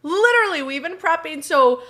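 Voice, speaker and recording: A young American woman co-hosting a sports podcast, telling stories in an excitable, dramatic and emphatic way as casual banter. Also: very high-pitched, moderate pace. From Colorado, USA.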